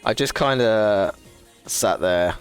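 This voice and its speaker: Low-voiced man, rambling stream chat. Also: takes it slowly, clear, resonant tone, faint music behind. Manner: rambling and profane, with frequent laughs. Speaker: livestreamer. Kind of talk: casual chat to a live audience.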